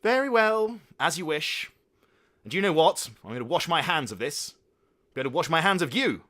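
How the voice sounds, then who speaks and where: A man's voice: mid-range voice, sing-song, fairly quick, fairly bright tone, voice pushed up. A man voicing a weak, flustered middle-aged father who stammers and stumbles over his excuses. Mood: indignant.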